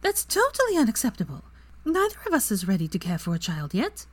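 A woman voicing a bright, eager young witch in a higher, lively voice that often drops to a hushed whisper. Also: fast. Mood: affectionate.

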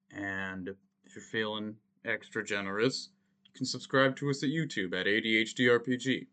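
A man's voice: lowish voice, bright, forceful tone. A man hosting a podcast, giving a loose, jokey outro with plugs. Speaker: podcast host.

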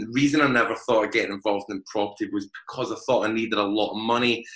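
A young man with a Glaswegian accent, talking frankly and deliberately slowly as a podcast guest. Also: lowish voice, pushed and bright, roomy sound, low-quality recording. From Scotland. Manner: frank, upfront, deliberately slowed speech. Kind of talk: candid podcast interview.

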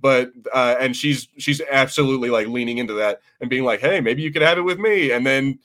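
An American man hosting a podcast in casual chat, animated and sweary, acting out imagined quotes as he goes. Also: mid-range voice, brisk. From United States. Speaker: podcast host.

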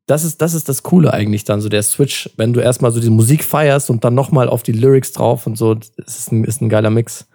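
A man's voice: medium pitch, a little fast, few pauses, mellow. A young man in a casual podcast chat, talking in a slangy, informal way.

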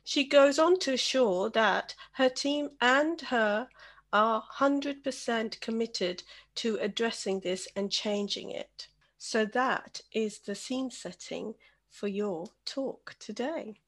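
A woman's voice: on the high side, takes it slowly. A British Bangladeshi woman hosting a podcast over a video call, reading an introduction and then handing the floor to each guest. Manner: reads an introduction, then chats and hands over by name. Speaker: podcast host.

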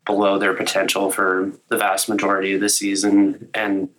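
A young man with a slightly raspy, recovering voice, co-hosting a casual sports chat and talking through results with many fillers. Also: low voice, completely flat delivery, moderate pace, fairly bright tone, a little hiss or hum, low-quality recording. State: slightly raspy after illness. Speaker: co-host of a college sports podcast.

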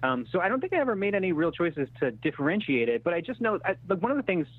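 A man in his thirties chatting casually as an interview guest, halting and self-deprecating as he thinks aloud. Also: high-pitched, sing-song. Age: 30s. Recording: thin phone-like radio-style sound.

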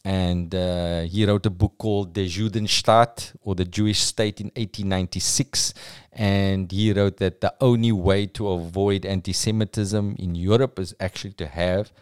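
A man's voice: low voice, steady tone, normal speed, a little mellow, clear, resonant tone, no room sound, clean studio sound. A young man hosting a podcast, telling history in a casual, jokey way with slang mixed in. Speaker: podcast host.

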